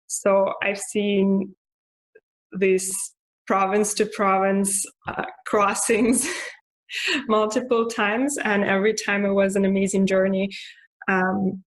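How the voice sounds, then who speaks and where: A young woman from Russia with a Russian accent, talking casually about her life, with frequent fillers, restarts and soft laughs. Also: mid-range voice, little pitch movement, slow pace. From Russia (Moscow). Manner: hesitant, many fillers and restarts; laughs softly now and then. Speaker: interviewee. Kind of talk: casual personal monologue.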